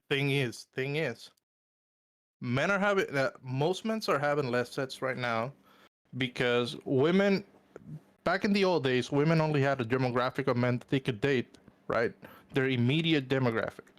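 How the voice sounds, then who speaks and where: A man's voice: medium pitch, moderate pace, no echo. A man from Puerto Rico whose first language is Spanish, sick and congested, calling in to debate on a live stream, hesitating with many fillers and restarts. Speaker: caller. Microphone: voice call.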